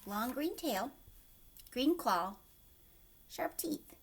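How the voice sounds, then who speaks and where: A woman hosts a storybook read-aloud for children. Her voice is high and swoops expressively. She narrates with emphasis and often turns to ask the listeners questions. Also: animated, drawn-out words, slightly rough.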